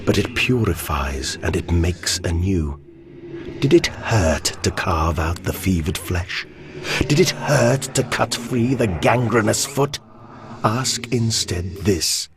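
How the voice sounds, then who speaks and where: A man's voice: low-pitched, lively intonation, slow, crowd noise, exaggerated voice. A man voicing a sentient industrial machine in a video game, a mocking zealot who preaches grandly and with contempt. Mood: sinister calm. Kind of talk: theatrical video game villain monologue. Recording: distant, echoing voice.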